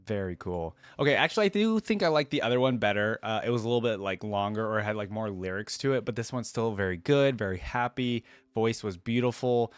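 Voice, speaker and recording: A man's voice: mid-range voice, dry recording. A man giving casual, off-the-cuff reaction commentary, thinking aloud in a rambling way with lots of "like" and "you know". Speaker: reaction video host.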